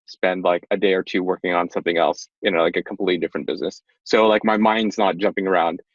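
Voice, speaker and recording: A young man in his twenties with a low voice talks through his work as a podcast guest, loose and rambling and full of fillers. The sound is dry and a little dull, like a modest home setup. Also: fast talker, no echo. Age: 20s. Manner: relaxed, rambling explainer with many fillers. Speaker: guest, startup tech executive. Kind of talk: casual interview chat.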